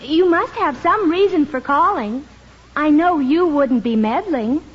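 A woman's voice: high voice, expressive, a little slow. An actress playing a poised, sweetly pointed young married woman in a 1950s radio comedy. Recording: dull, faintly noisy 1950s radio.

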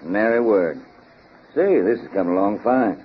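An actor playing a hospitable farmer husband with a rural American accent and homespun speech in a 1930s radio drama. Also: male voice, lowish voice, expressive, moderate pace, breathy edge. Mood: easygoing, dry. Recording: muffled, noisy 1930s radio recording.

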